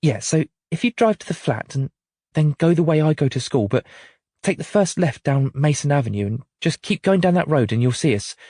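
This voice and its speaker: A harried mother, short-tempered and swearing under her breath, as a male narrator puts her on. The voice is raised in pitch, lively, and slightly husky. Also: brisk, dry recording, studio quality. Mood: stressed. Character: stressed, harried young mother.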